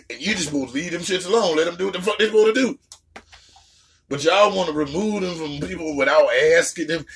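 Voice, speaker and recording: A man chatting casually as a reaction host, blunt, slangy and profane, often repeating words for emphasis. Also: a little high. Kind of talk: casual chat reacting to a story.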